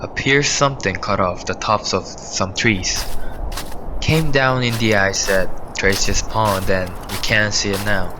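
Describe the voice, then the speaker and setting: A man's voice: lowish voice, measured pace, natural voice. A man voicing a cautious state trooper in a school radio play, speaking earnestly and a bit hesitantly. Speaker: student actor. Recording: clear background noise.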